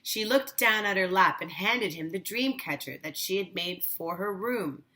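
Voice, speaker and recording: A woman teacher reading a children's story aloud with measured, deliberate phrasing. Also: mid-range voice, expressive, pushed and bright.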